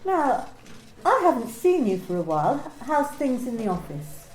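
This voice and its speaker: A woman playing a coolly clinical office manager in an amateur radio play, asking personal questions in a calm, matter-of-fact, bureaucratic way. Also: on the high side, moderate pace, echoey room, a little hiss or hum, rough recording. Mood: businesslike.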